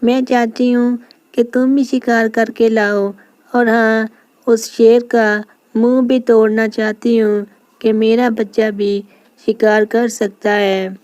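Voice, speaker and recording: A woman voicing an emotional, protective mother lioness in an animated animal story. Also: on the high side, takes it slowly, clean tone, dry recording. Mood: pleading.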